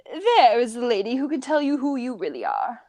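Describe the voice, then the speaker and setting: Woman as a high, fluttery, prim old governess. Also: huge pitch swings, normal speed, darkish tone, dry recording. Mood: shocked, indignant. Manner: precise, prim. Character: prim, fussy unmarried older governess, easily scandalised. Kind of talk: amateur radio play of period comedy.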